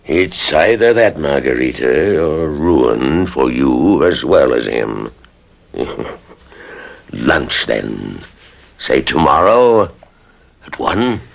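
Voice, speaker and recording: An actor playing a sly, lecherous moneylender in a radio drama, suave, insinuating and calculating. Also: male voice, very deep voice, sing-song, very slow, creaky, exaggerated voice. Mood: gloating, coercive.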